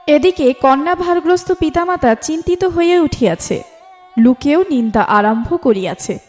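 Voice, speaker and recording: High-voiced woman narrating a literary story. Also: a little mellow. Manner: formal storytelling delivery. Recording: music bed under the voice. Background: instrumental music.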